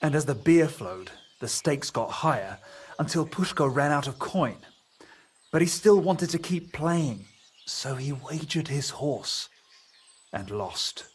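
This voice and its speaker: Pious village priest for a video game: hesitant, earnest, rough-edged voice, slightly high. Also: male voice, expressive, slow pace, full character voice. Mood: reluctant, confiding.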